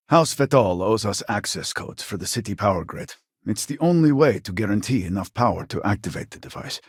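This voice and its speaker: A weary, devout man of science in a sci-fi video game. His husky voice sits fairly low yet moves widely in pitch. He speaks formally and earnestly, with measured, scripted phrasing. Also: dry recording, clean studio sound.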